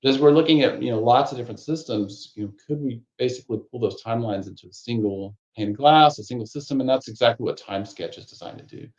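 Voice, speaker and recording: A man, the course author, walking through a technical course in a relaxed, rambling way with lots of fillers and restarts, his voice a little raspy. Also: medium pitch, quick pace, few pauses, muffled recording. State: slightly raspy voice, needs a cough drop. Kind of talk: conversational technical course walkthrough.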